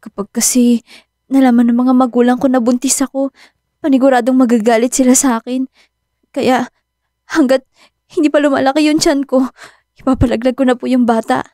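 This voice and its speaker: Nervous teenage girl for radio drama, acted by a woman: hesitant, mellow, fairly high, frightened. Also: steady tone, brisk, long pauses, dry recording, studio quality, natural voice. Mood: anxious, resigned. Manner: hesitant, timid. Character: nervous, frightened eighteen-year-old pregnant girl.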